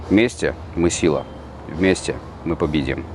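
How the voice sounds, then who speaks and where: Deep voiced guy from belarus, matter-of-fact, talks about his community. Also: a little slow, crowd noise. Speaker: diaspora community member. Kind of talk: short personal testimony.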